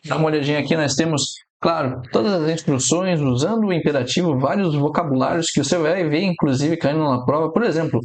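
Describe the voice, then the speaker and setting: A Brazilian man, an aviation English teacher, explaining exam mistakes in a steady instructional way. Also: on the high side. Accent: Brazilian Portuguese, no fixed regional accent. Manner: explains steadily, quotes radio phrases slowly and deliberately.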